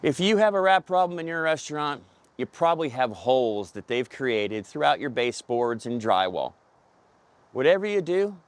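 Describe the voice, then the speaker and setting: A man in his fifties delivering scripted, plain-spoken instructional advice to camera as a pest control pro, outdoors on a lapel mic. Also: mid-range voice. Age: 50s. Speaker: pest control company representative. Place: outdoors in a parking lot beside a pickup truck. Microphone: clip-on lapel mic.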